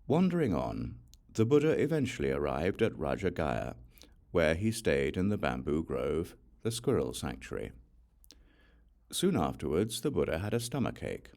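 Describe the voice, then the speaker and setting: A man narrates a scripture audiobook in a very deep voice with a creaky, gravelly edge. His phrasing is deliberate and measured, while his intonation moves widely up and down. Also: sing-song, a little mellow.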